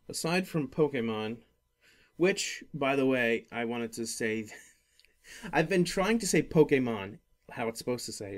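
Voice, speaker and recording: A man hosting a casual gaming podcast, talking in a rambling, halting way that often circles back on itself. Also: mid-range voice, darkish tone. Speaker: podcast host.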